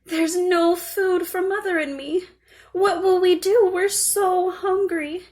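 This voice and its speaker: Woman playing a high-voiced earnest little girl. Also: slow pace. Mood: tearful, despairing. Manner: high-pitched, earnest, expressive. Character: poor, hungry, earnest little village girl.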